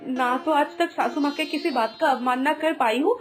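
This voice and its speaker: Woman acting a high-voiced, bossy elder sister-in-law. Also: normal speed, soft background music, close to an everyday voice. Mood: defensive. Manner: scolding, taunting, theatrical. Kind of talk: Hindi animated moral story acting.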